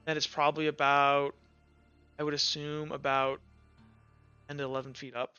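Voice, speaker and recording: A man narrates a tabletop roleplaying game as game master, improvising descriptions informally. His voice is bright and smooth. The recording is dry and a little dull, with faint music underneath. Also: a little high, level tone, moderate pace, no echo.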